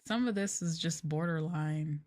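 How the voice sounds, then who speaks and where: A soft-spoken woman streamer chatting casually on an ASMR livestream in an unhurried, hesitant way, close to the microphone. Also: low voice. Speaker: VTuber streamer, host.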